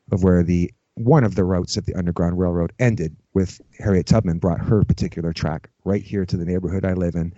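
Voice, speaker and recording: A middle-aged Canadian-raised man with a fairly low, slightly dark voice chats as an interview guest. He talks in a loose, informal ramble peppered with filler phrases. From Canada, Toronto area. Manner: informal, peppers talk with "you know". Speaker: podcast guest, ritual drummer. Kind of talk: casual, rambling conversational interview. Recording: muffled, roomy, echoey sound.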